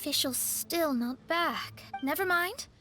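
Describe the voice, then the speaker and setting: A woman's voice: high-pitched, takes it slowly, loud music behind the voice. A woman playing a self-assured, analytical young mage in a video game, confident and articulate. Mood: confident, pondering.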